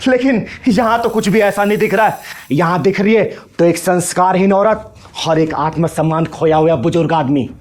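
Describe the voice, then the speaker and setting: Man acting bold kinnar elder sister, high-pitched. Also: normal speed, lightly acted. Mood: righteous scolding. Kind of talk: Hindi moral short-film acting.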